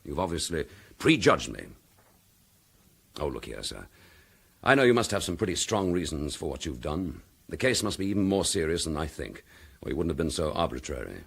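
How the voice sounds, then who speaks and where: An actor playing an accused chief inspector, dogged and defiant, speaking in a firm, measured, indignant manner in a radio drama. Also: male voice, very deep voice, very animated, creaky, fairly bright tone, breathy edge, full character voice. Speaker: police detective.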